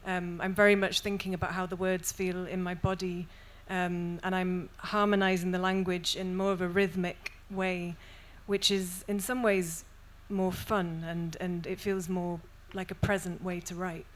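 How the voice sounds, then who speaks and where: A young Welsh woman poet speaking thoughtfully on a festival panel, with many pauses and restarts. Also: lowish voice, little pitch movement. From Wales. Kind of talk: panel discussion and poetry reading.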